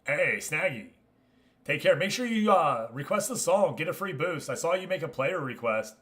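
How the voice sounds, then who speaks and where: A man's voice: on the high side, expressive, normal speed, fairly bright tone. A man hosting a gaming livestream, talking casually and chummily to his viewers as he comments. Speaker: livestream host.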